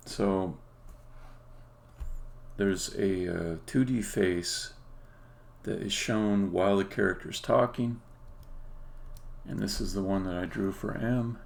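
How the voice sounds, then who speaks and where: A man giving a casual live-stream demo as a game developer, halting and thinking aloud as he goes. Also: low-pitched, vocal fry. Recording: noisy, with keyboard typing and clicking.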